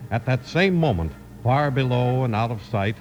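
A man's voice: medium pitch, animated, moderate pace, a little mellow, muffled recording, soft background music. A man announcing and narrating in an emphatic, scripted 1950s radio style. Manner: emphatic salesmanlike delivery.

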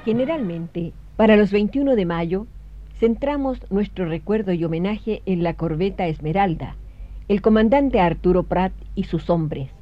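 A woman narrating a scripted historical radio drama in a solemn, measured way. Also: mid-range voice, animated, lightly acted. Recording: dull lo-fi radio recording with music.

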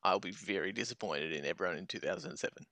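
A man's voice: sits low, quick pace, sharp tone, no room sound. A man in casual banter as a quiz contestant, thinking aloud bluntly and repeating himself. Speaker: podcast co-host and quiz contestant.

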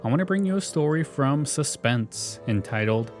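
Guy doing a scripted podcast intro, normal pitch, bit of music underneath. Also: expressive, normal speed. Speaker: podcast host.

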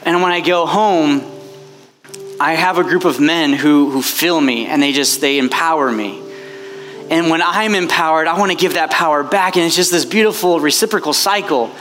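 A man's voice: a little high, moderate pace, fairly bright tone, faint music behind. A man preaching as a priest to a retreat crowd, impassioned and chatty, with many fillers. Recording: long hall reverb, faint background noise.

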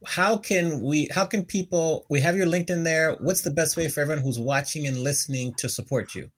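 A woman's voice: low voice, brisk. A woman hosting an interview show, asking questions and cheering her guest on in a casual, encouraging way. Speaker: podcast host.

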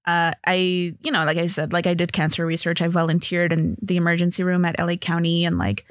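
A woman's voice: on the low side, a little fast, dry recording, clean studio sound. A woman in her thirties from Los Angeles, a comedy TV writer chatting casually as a guest, laughing often and filling her talk with "like". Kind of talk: casual interview chat.

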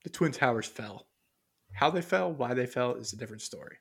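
A man's voice: medium pitch, lively intonation, fairly quick, creaky, fairly bright tone, breathy edge. A man co-hosting a casual podcast, rambling and hesitant, full of fillers and restarts as he reads aloud from his notes. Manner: muses aloud while reading from notes. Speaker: podcast co-host.

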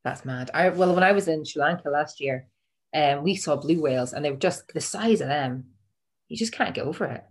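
A woman with a deep voice, a podcast guest chatting casually in an easygoing, slightly self-conscious way. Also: animated, brisk, husky. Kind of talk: casual chat.

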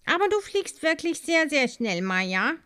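A woman's voice: sits high, sing-song, measured pace, sharp tone, pitched above the performer's own voice, heavily acted. A woman voicing a friendly female honey-carrier bee who guides a young bee, a little out of breath. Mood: guiding.

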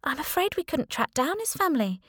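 A woman voicing a sympathetic female hospital worker who talks in a soothing, confiding, gently gossipy way. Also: high voice, sing-song, a little fast, dry recording, studio quality, pitched above the performer's own voice.

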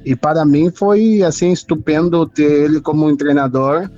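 Male footballer guest, Brazilian accent, native Portuguese, fairly high voice, casual reminiscing, dull lo-fi sound. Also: steady tone, normal speed, dark tone. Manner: mixes Portuguese and Spanish. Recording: dull lo-fi audio, music behind.

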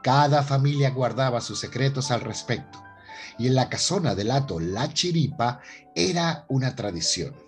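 A man's voice: medium pitch, animated, normal speed, faint music behind. A man hosting and narrating a radio soap opera from a script in a theatrical presenter's manner. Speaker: host and narrator.

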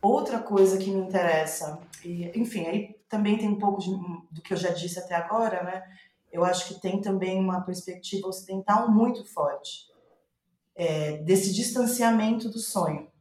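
A woman with a Brazilian Portuguese accent in a reflective interview, thinking aloud haltingly and trailing off. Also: medium pitch, darkish tone, reverberant, low-quality recording. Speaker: playwright, podcast guest.

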